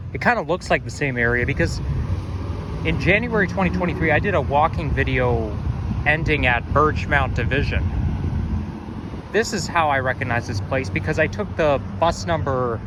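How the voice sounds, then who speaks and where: A young man from Toronto, slightly hoarse with a frog in his throat, rambling and correcting himself as he narrates a street walk outdoors. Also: a little high, lively intonation, normal speed. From Toronto, Canada. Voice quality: strong, slightly growly. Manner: rambling, self-correcting, musing aloud. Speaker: walking-tour vlogger. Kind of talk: casual walking narration. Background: faint passing traffic.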